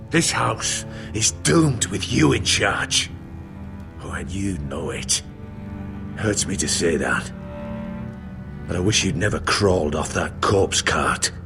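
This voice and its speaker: A man playing an aggrieved, loyal castle steward in a dramatic fantasy game, speaking earnestly and emphatically with a gravelly voice. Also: on the low side, animated, slow, bright, forceful tone, exaggerated voice. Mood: bitter, regretful. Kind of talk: dramatic video game acting. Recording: music under the voice.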